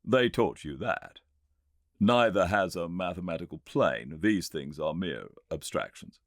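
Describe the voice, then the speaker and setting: Man reading as a learned Victorian inventor, low voice, swooping intonation, British, earnest, emphatic lecturer, storybook character. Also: lively intonation, no room sound, studio quality. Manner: emphatic, didactic, formal, deliberately pointed phrasing.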